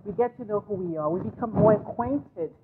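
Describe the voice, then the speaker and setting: A Haitian American woman from New York, a guest life coach speaking earnestly in measured, spiritual phrasing on a talk show. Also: medium pitch. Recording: tinny phone line with noise.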